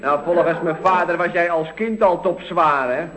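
Guy doing cheeky young nephew in old radio comedy, highish voice, deadpan teasing, muffled sound. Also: normal speed, very noisy, lightly acted. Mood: needling. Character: cheeky, needling young nephew quoting his father. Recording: dull, muffled vintage radio recording.

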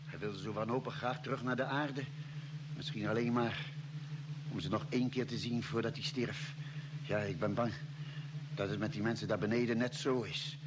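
A man's voice: lowish voice, steady tone, moderate pace, lightly acted. Actor as grave spaceman on noisy old recording. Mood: sombre. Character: sober, thoughtful space expedition member telling the tale. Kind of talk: radio drama acting and narration. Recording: noisy vintage radio drama recording.

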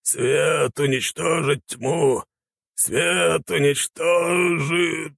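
A man voicing a fanatical, dim old warrior of light in an audiobook, chanting slogans in drawn-out shouts. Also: on the high side, steady tone, creaky, no room sound, clean studio sound, voice pushed up, exaggerated voice. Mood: zealous, raving.

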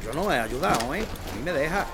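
An actor playing a gruff, grumbling working man in a Spanish period radio drama. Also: fairly high pitch, expressive, fast, close to an everyday voice. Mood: rallying.

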